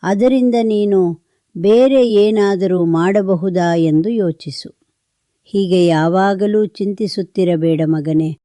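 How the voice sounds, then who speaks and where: Woman acting gentle old mother, unhurried, mellow and soft-toned, consoling, animated village tale. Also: mid-range voice, no echo, close to an everyday voice. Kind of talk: animated moral story dialogue.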